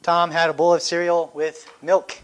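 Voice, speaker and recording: A middle-aged man with a somewhat high voice leads a Sunday school class in a church room. He speaks into a microphone in a loose, conversational way, full of fillers and restarts. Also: monotone, a bit bright. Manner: conversational, halting with fillers and self-corrections. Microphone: handheld or stand microphone.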